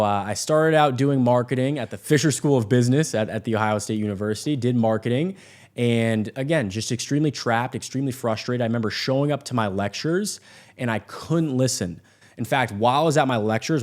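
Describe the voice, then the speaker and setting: A young American man speaks off the cuff about himself to an audience, with a mid-pitched voice. He is earnest and emphatic, often repeating a phrase for weight and checking in with listeners as he goes. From Vermont, United States. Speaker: sales entrepreneur introducing himself. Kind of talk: unscripted personal story told to an audience.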